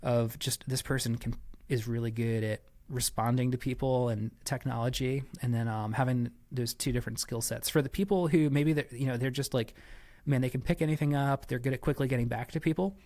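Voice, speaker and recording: A man's voice: medium pitch, fairly even intonation, fairly quick, a little mellow. A man giving conversational interview answers as a podcast guest, explaining things with frequent asides and qualifications. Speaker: church staff member, podcast guest.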